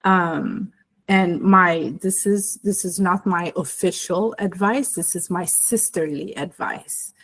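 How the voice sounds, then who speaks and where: A woman from Ethiopia speaking to an online audience over a video call, thoughtful and unhurried, with many pauses. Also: fairly low pitch, a bit bright. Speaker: guest speaker. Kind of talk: personal talk to a webinar audience.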